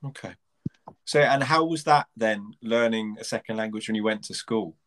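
A man's voice: lowish voice, normal speed, fairly bright tone. Curious male interviewer. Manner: curious, trailing questions with restarts. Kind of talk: casual conversational interview.

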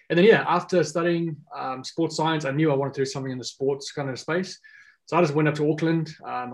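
Relaxed man, casual. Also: a little high, low-quality recording. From South Africa. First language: Afrikaans. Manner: rambling, many fillers and restarts. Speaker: guest. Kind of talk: casual podcast chat.

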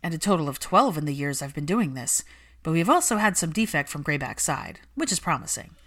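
A woman voicing a concerned, caring female healer in a story reading, earnest and gently pressing. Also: deep voice, sing-song, a little fast, exaggerated voice.

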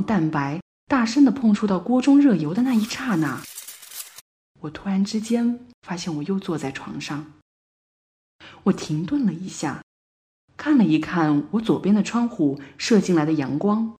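A woman playing a bewildered Paris resident in a radio play monologue, telling her story deliberately with dramatic swells. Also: medium pitch, mellow, lightly acted. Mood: wistful, reflective. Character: woman living in Paris, bewildered, recounting a looping morning.

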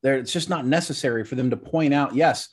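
A man co-hosting a podcast, explaining his points in a measured, rambling way in casual chat. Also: medium pitch, husky. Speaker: podcast co-host.

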